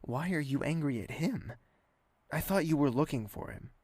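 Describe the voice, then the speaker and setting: An actor playing a courteous, quietly caring host and narrator with a husky, measured, dry delivery in an audio drama. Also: medium pitch, animated, no echo. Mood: concerned, soothing.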